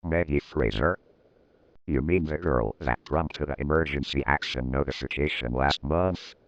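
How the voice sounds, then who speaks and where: A man's voice: a bass voice, unhurried, dry recording, muffled recording. A man playing a puzzled questioner in an acted audio drama.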